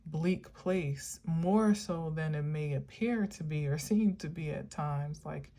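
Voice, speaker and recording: A woman's voice: low voice, takes it slowly, warm tone. A woman vlogger giving an earnest, meandering spiritual monologue, musing aloud with many filler words and encouraging her listeners.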